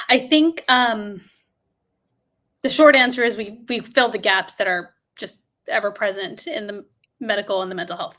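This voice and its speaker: A woman's voice: mid-range voice, fairly bright tone. Earnest middle-aged woman explaining. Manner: earnest, measured, emphatic explaining. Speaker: guest expert. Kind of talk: explanatory interview answer. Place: home room indoors. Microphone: webcam on a video call.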